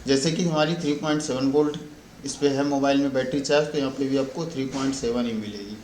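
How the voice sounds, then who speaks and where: An Indian man with a mid-pitched voice walks through a repair in an informal way. He explains as a technician would, rephrasing and repeating points as he goes. The recording is roomy and echoey, with faint noise behind him. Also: fairly even intonation, fast talker, a little mellow. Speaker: phone repair technician giving a tutorial. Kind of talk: informal spoken repair walkthrough.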